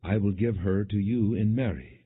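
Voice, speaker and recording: A man's voice: fairly low pitch, normal speed, breathy edge. Man reading as brooding jealous king, muffled sound. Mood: scheming. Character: jealous, commanding king of ancient Israel. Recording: muffled, phone-like narrow band.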